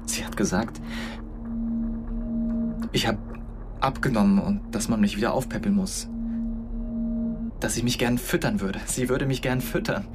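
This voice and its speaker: An actor playing a young man who pleads in a halting, hesitant way with someone who won't answer, in a radio drama. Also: lowish voice, lightly acted. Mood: pleading. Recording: radio play with loud music.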